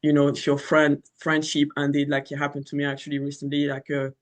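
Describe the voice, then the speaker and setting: A man's voice: on the high side, completely flat delivery, fairly quick. A man in his thirties from France with a French accent, talking casually about astrology in a rambling way with many fillers and restarts. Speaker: astrology channel host. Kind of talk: casual spoken astrology talk.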